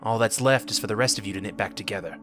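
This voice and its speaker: A man's voice: on the low side, racing, music in the background, lightly acted. A man playing a cynical, scarred army medic in an audio drama, irritable, sardonic and scolding. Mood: grim warning.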